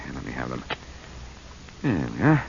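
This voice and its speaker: An actor playing a cool, wisecracking amateur detective in a breezy, teasing 1940s radio style. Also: male voice, rumbling low, wildly swooping pitch, moderate pace, vocal fry, heavily acted. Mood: wry, relaxed. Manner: breezy, teasing, self-assured. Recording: muffled, noisy 1940s radio recording.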